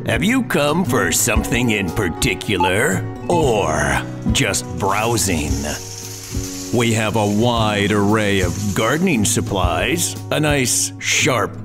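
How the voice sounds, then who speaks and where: An actor playing a sinister, insinuating shopkeeper with a mock-welcoming manner in a horror podcast promo. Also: male voice, fairly low pitch, animated, takes it slowly. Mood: sly, menacing. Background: loud music.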